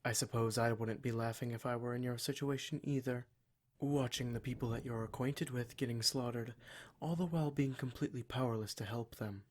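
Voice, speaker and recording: A man's voice: mid-range voice, steady tone, brisk, close to an everyday voice. Man acting a smug, cruel demon captor. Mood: mocking. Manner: condescending, mock-polite, menacing, formal wording. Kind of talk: scripted ASMR roleplay monologue.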